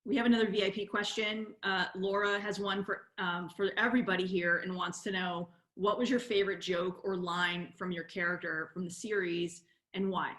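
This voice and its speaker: A woman hosts a live panel question session. Her pitch is average and the room echoes around her. She rewords her questions as she goes, in a conversational way. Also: steady tone, normal speed, few pauses, a bit bright. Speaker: moderator. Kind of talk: live cast Q&A hosting. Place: indoor room, on a video call. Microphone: video call. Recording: roomy video call audio.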